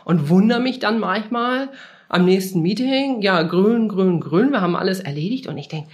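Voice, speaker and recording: A woman's voice: medium pitch, animated, normal speed. A woman in her forties from Germany, answering interview questions conversationally, reflecting and feeling for her phrasing. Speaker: interview guest, international manager.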